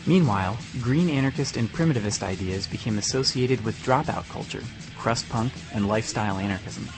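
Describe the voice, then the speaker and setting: A man's voice: on the low side, brisk, over loud music. A man reading scripted documentary narration for a podcast.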